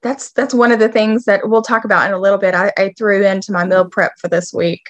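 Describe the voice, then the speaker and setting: Upbeat woman co-host, mid-pitched, excited chatter, dry close mic. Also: no room sound. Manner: enthusiastic, bubbly, quick bursts. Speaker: podcast co-host, registered dietitian. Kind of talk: casual chat.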